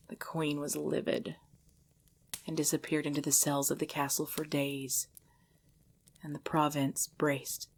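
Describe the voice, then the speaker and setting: An ancient vampire woman, acted by a woman speaks in a breathy, husky hush that slips into whispers. Her delivery is even and restrained, with formal, old-fashioned phrasing. She sounds like a possessive, mournful lover in an intimate ASMR audio drama. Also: deep voice, heavily acted. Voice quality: breathy, often dropping into whisper. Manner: slow, formal, archaic phrasing, hushed. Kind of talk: ASMR roleplay, intimate scripted monologue.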